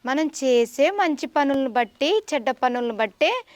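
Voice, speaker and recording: Puppet show teacher: high-pitched woman, patient and instructive, explaining to a child, recorded dry. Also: lively intonation, unhurried, dry recording.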